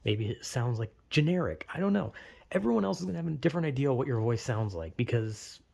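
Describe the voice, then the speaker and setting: A man's voice: mid-range voice, animated, fast, creaky, a little mellow. A young man vlogging in a rambling, self-deprecating way, full of "like" fillers, with a slight lisp. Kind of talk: casual rambling monologue.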